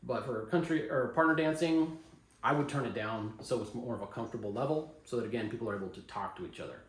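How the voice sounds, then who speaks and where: A man's voice: medium pitch, fast talker. Laid-back man explaining gear, echoey room. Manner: relaxed, rambling, many fillers and restarts; blunt, opinionated advice. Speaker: dance instructor explaining audio setup. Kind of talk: casual how-to demonstration.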